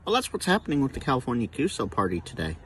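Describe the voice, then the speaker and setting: A man's voice: medium pitch, huge pitch swings, rapid-fire, slight background noise. An American man hosting a casual outdoor vlog as a radio operator, recorded on a camera in a park. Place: outdoors in a park by a baseball field. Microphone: camera without external microphone.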